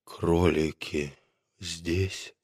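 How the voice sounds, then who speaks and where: A man's voice: low-pitched, fairly even intonation, slightly rough, pitched below the performer's own voice, heavily acted. A man voicing a kind, brave old doctor in a storybook reading, speaking weakly. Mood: faint. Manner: weak, hushed and slow.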